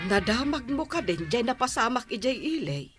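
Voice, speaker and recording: A woman's voice: on the high side, big pitch swings, normal speed, over music. A woman with an Ilocano Filipino accent playing a gossipy, cautioning village woman in a comedy radio drama. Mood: gossipy, warning.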